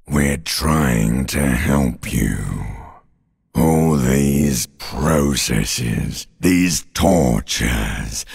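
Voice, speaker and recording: An actor playing an ancient reptilian brain in a video game, a sinister, mocking inner voice with a slow, theatrical, rasping drawl. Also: male voice, exaggerated voice. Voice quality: very deep, gravelly, rasping growl. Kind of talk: dramatic video game character monologue. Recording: long cavernous reverb.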